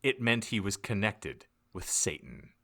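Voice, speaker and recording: A man with a fairly low, forceful voice narrates a comic audiobook. His reading is theatrical and mock-serious, with lively swings in pitch. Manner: theatrical, deliberate emphasis. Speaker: podcast narrator.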